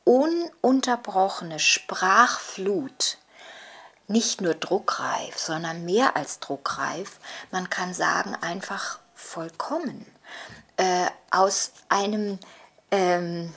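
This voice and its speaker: A woman with a lowish, husky voice speaks slowly and deliberately. She halts as she searches for words, then phrases them articulately, as an interviewee reflecting aloud. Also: fairly bright tone. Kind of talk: reflective spoken commentary in a documentary.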